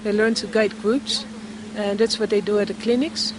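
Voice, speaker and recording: A woman's voice: medium pitch, steady tone. Earnest woman, street noise behind. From Netherlands. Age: 20s. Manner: earnest, pausing often to find words. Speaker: project founder. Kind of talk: documentary interview. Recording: outdoor street noise with passing traffic.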